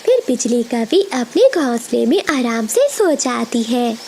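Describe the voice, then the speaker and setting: A woman narrates a children's tale in a high voice with very lively intonation. It is scripted storytelling, recorded with faint noise behind it. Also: unhurried.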